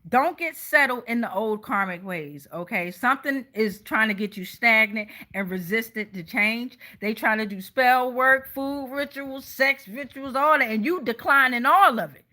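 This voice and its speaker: A woman with an American accent and a fairly high voice hosts a tarot reading. She speaks informally and bluntly, swearing freely and stressing her points. She keeps checking that the listener is following along. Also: moderate pace, few pauses, sharp tone. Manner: blunt, foul-mouthed, emphatic; checks in with listeners with repeated tag questions. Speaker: host and tarot reader.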